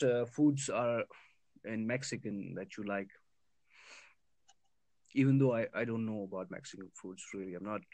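A man's voice: fairly low pitch, darkish tone, no room sound. Man from Kashmir, casual conversation, hesitant delivery, frequent laughs, dry mic. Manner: hesitant, many fillers, laughs often. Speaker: conversation partner. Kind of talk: casual chat.

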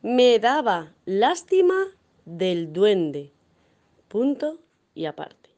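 Slow lilting woman reading dictation. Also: medium pitch, big pitch swings, a little mellow, muffled recording. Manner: reads word by word, calling out punctuation. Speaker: dictation reader.

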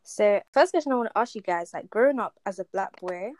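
A woman's voice: medium pitch, lively intonation, brisk, no room sound. A woman hosting a podcast in an informal, conversational way. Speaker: podcast host.

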